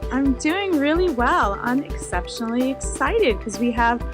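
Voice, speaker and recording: A woman's voice: high voice, animated, moderate pace, over music. Upbeat woman co-hosting a podcast. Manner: upbeat, giggly. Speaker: podcast co-host. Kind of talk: casual tech podcast chat.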